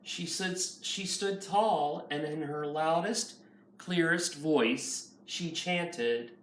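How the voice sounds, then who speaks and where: A man's voice: fairly high pitch, very slow, a bit bright. A man reading a children's picture book aloud as a nursery school teacher. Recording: roomy, far from mic, faint noise.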